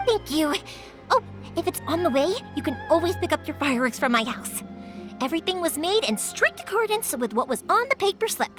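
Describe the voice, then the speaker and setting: A woman playing a cheerful young fireworks maker in a game, talking in a bubbly, expressive way. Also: very high-pitched, fairly quick. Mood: friendly. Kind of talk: video game voice acting. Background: game music.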